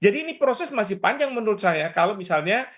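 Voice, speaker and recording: A man giving expert analysis in an interview, explaining in a halting way. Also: high-pitched, lively intonation. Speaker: expert guest, professor.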